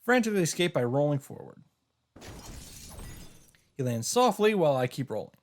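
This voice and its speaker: A man with a slightly high voice reads first-person narration aloud. His pitch moves around in a lively way, and his delivery is theatrical and a little halting, leaning into dramatic emphasis. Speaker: streamer reading a visual novel aloud.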